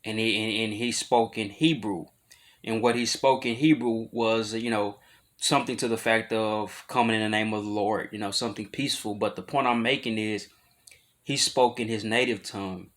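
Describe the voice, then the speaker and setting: A man's voice: steady tone, normal speed. An adult man, talking casually to his viewers in a loose, rambling way, full of fillers and restarts, rising in volume when he drives a point home. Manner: grows louder and higher when making a point. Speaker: vlogger. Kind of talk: casual talk to camera.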